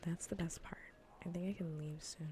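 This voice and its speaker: A woman whispering softly and breathily in an ASMR gaming stream, playfully bossy and dryly sarcastic, close to the microphone. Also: lowish voice, steady tone, a little fast, background noise. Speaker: livestreamer.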